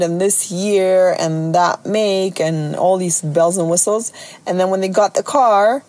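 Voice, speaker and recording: A middle-aged woman with a slightly raspy voice, talking casually and chattily as a solo podcast host and coach. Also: fairly low pitch, darkish tone, low-quality recording.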